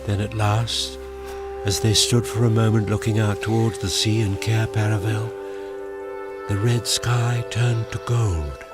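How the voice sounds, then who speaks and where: A male narrator with a fairly low voice tells a story slowly and with measure. The delivery is scripted and descriptive, over loud music. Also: fairly even intonation. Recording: prominent music behind the voice.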